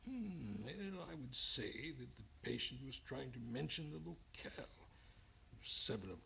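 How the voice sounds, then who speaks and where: An actor playing a cunning older psychiatrist turned murderer in an old-time radio drama, speaking deliberately and condescendingly with hesitant repeats. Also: medium pitch, big pitch swings, creaky, fairly bright tone, slight background noise, heavily acted. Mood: guarded, musing.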